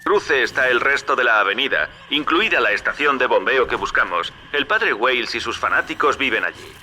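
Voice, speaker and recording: A shrewd game ally. His voice is forceful and very bright, swinging widely in pitch as he gives familiar, brisk orders through a slightly noisy radio. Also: animated. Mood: businesslike. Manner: familiar, directive. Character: shrewd, brisk male ally guiding the hero. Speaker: guide over radio. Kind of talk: video game dub acting.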